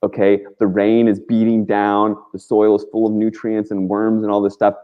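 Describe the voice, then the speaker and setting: A man's voice: lowish voice, monotone, normal speed, muffled recording. A man talking as a guest on a talk show, rambling casually and earnestly through his stories in informal, slangy language. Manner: informal and slangy, with frequent "like" and mild swearing.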